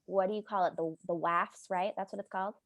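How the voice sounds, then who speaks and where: A woman's voice: medium pitch, steady tone, fast, no echo. A woman, an audio drama actress, talking informally in a casual group chat.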